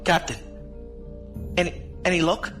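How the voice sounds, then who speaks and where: A man's voice: high voice, fairly even intonation, unhurried, a bit bright, faint music behind. An actor playing an anxious, respectful male crewman in a sci-fi audio drama. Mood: worried.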